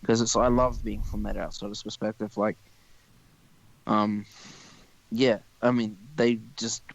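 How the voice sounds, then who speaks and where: A man's voice: on the low side, little pitch movement, measured pace, gravelly, highs cut off. A young man hosting a podcast, chatting casually in a rambling way and swearing freely. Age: young adult. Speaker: podcast host. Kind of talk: casual chat.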